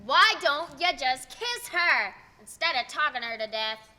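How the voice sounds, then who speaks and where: A woman playing a grumpy, impatient older neighbor in a radio play, heckling loudly. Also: sits high, sing-song, heavily acted. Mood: exasperated. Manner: loud, drawn-out heckling.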